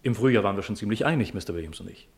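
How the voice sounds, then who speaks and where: An actor playing a brisk, formal travelling merchant with clipped speech in a 1950s German radio drama. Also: male voice, lowish voice, expressive. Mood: brisk, businesslike.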